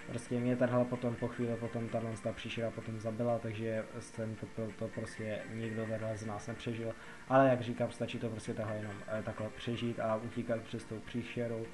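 A man's voice: on the low side, flat delivery, poor audio. A young adult Czech man giving a casual game tutorial, explaining in a rambling, repetitive, colloquial way. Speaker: gaming video host.

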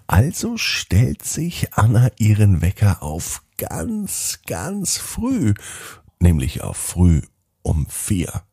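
A man's voice: on the low side, huge pitch swings, extremely slow, gravelly, dark tone, slightly rough, dry recording, clean studio sound. A man hosting a children's podcast and narrating a scripted bedtime story, speaking expressively and directly to young children.

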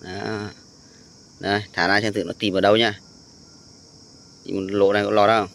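A man with a fairly low voice narrates a casual outdoor vlog. He explains in an informal, halting manner, pausing to find his words as he goes. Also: flat delivery, vocal fry, a bit bright. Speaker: vlogger. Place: outdoors on a sandy tidal beach.

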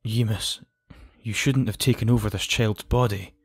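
A man's voice: on the low side, steady tone, normal speed, husky, no room sound. A man voicing the villain's earnest older brother, pleading with him in a fan audio drama.

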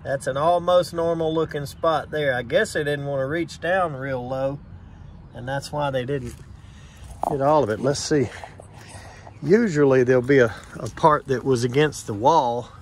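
A man of middle pitch talks slowly and plainly as an HVAC technician, pointing things out step by step. He is outdoors beside air conditioner units, recorded on a handheld phone camera. Faint outdoor noise sits behind his voice. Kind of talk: casual on-site explanation to camera.

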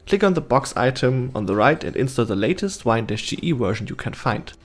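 A man's voice: medium pitch, big pitch swings, fairly quick. A man reading scripted step-by-step instructional narration as a tutorial host. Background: background music.

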